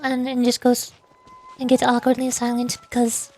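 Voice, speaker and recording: High-voiced woman streaming a game. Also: completely flat delivery, moderate pace, a little mellow, soft background music, low-quality recording. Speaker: VTuber streamer. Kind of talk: game livestream commentary with voice acting of dialogue.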